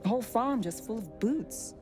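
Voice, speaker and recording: A woman's voice: a little high, animated, moderate pace, lightly acted. A woman playing a determined young thief about to enlist, bantering in a dry, understated way in video game dialogue. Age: young adult. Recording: music behind the voice.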